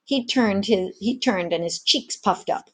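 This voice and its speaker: A woman with a mid-pitched voice reads a story aloud. She narrates as a teacher would for a read-aloud lesson. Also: unhurried, fairly bright tone, slightly rough.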